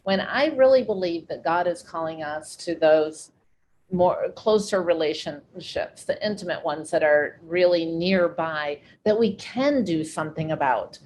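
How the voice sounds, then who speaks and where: A woman hosting an interview, speaking in a reflective, measured way. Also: lowish voice, darkish tone, slight background noise, rough recording. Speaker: interview host. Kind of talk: conversational interview.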